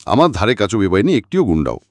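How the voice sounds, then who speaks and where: A man voicing a boastful, excitable middle-aged comic writer in an audiobook, dramatic and bragging. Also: on the low side, quick pace, no room sound, studio quality. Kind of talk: audiobook character acting.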